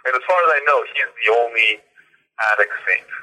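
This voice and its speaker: A man's voice: mid-range voice, lively intonation, fairly quick, bright, forceful tone, low-quality recording. A man, an author being interviewed, explaining in a thoughtful way with frequent fillers and repeated words. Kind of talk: conversational interview.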